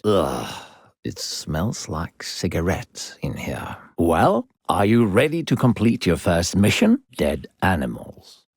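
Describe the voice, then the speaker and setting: Deep, gravelly, sinister game narrator. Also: male voice, a little mellow, no room sound, clean studio sound, exaggerated voice. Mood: disdainful. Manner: theatrical, condescending, coaxing. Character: smooth-talking, secretly sinister narrator guiding a little girl. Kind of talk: theatrical video game narration.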